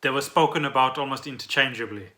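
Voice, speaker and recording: South african guy on a podcast, really sharp bright voice, casual questioning chat. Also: medium pitch, fast. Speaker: podcast co-host.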